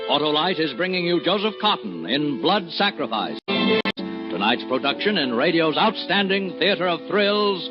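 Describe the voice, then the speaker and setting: A man's voice: a little high, sing-song, moderate pace. A man announcing a radio thriller in a suspenseful, emphatic old-time style. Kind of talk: dramatic 1940s-50s radio announcing. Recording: muffled vintage radio with loud music.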